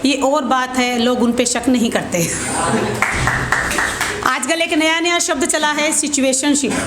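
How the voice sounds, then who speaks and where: High-voiced woman reciting poetry, echoey room. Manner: deliberate, repeats lines for effect. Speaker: poet performing at an open mic. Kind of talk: spoken Hindi-Urdu poetry recital with informal introductions. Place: open mic event room. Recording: echoey room with clear background noise.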